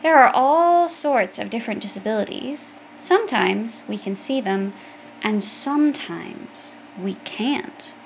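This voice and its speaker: A woman's voice: on the high side, lively intonation, a little slow, gravelly, slight background noise, rough recording. A woman reading an educational children's book aloud, explaining things directly to young listeners. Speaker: audiobook reader.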